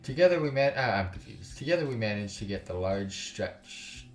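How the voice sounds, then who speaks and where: A man doing casual let's play commentary and reading game text aloud in a halting way, with exasperated, slangy, sweary asides. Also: on the low side, expressive. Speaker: gaming YouTuber, let's play host. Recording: roomy sound with music underneath.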